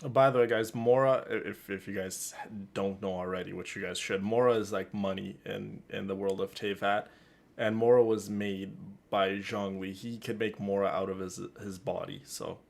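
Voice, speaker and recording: A man livestreaming a game with casual, chatty commentary, often halting and repeating words. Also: on the low side, fairly even intonation, gravelly.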